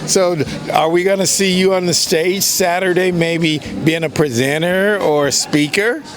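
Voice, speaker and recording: Playful high-voiced man over loud music. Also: a little slow, crowd noise. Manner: playful, coaxing. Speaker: event host interviewing youths. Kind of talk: upbeat on-the-spot interview.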